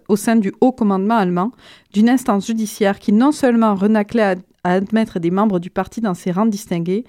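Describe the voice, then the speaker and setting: A woman, her voice mellow and warm. She speaks close to a studio microphone in a dry room with no echo. She reads in a steady, measured way and chats easily about books. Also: mid-range voice. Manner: measured, steady reading; chatty with fillers when talking. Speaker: guest book columnist. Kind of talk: literary reading and casual radio chat. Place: radio studio.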